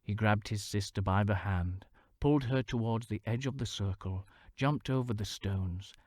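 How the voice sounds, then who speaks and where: A man narrating a fantasy novel in a measured storytelling manner, sometimes dropping to a hushed near-whisper. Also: on the low side, no room sound. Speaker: audiobook narrator.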